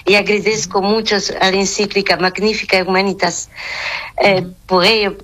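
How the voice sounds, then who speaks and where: A woman, a nun whose first language is Portuguese, speaking in a halting way, mixing Portuguese into her Spanish and searching for words. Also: mid-range voice, level tone, a bit bright, rough recording. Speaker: nun, interview guest. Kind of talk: phone interview.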